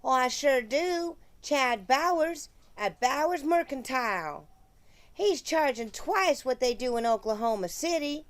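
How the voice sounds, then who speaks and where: A woman's voice: high voice, expressive, a little slow. A woman playing a fussy, indignant townswoman of the Old West, folksy and dramatic, in a radio western.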